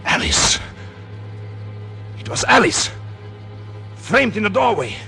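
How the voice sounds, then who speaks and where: Melodramatic murderous husband, wildly swinging pitch. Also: male voice, very animated, vocal fry, exaggerated voice. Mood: horrified. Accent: American. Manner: melodramatic, intense, deliberate. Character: calculating murderous husband, a doctor, smug then horrified. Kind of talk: 1940s radio acting, first-person suspense narration. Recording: 1940s radio recording with music behind.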